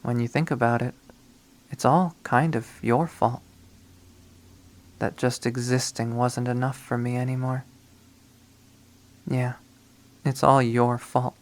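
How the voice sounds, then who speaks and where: A man reading a visual novel aloud, voicing an obsessive, lovesick young woman who has turned killer, in a slow, hushed, brooding delivery. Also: medium pitch, little pitch movement. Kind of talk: dramatic first-person readthrough.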